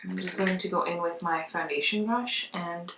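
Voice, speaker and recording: A woman's voice: fairly low pitch, normal speed, background noise. A woman giving a casual makeup tutorial in a chatty, conversational way. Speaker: makeup vlogger. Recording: roomy echo, distant mic.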